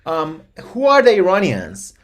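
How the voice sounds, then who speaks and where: A man's voice: high-pitched, wildly swooping pitch, measured pace. Iranian-born man, thoughtful guest expert. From Iran. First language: Persian. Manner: thoughtful, many fillers and restarts. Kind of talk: interview answers. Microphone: video call.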